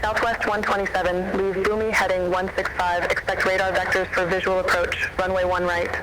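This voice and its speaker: A woman's voice: medium pitch, fairly quick. A woman's synthetic voice giving air traffic control instructions in clipped radio phraseology, heard over a radio transmission. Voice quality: flat, even synthetic delivery. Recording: loud static radio channel with clicks.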